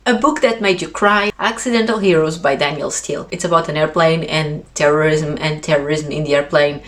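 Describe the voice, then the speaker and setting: Lower-voiced woman, expressive book vlogger. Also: a little fast. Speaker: book reviewer video host. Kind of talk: casual chat to camera.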